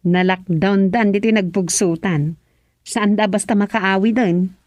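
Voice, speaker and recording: A woman's voice: medium pitch, fairly even intonation, measured pace, darkish tone, no echo, lightly acted. A woman playing an inquisitive, no-nonsense village woman in an Ilocano radio comedy drama.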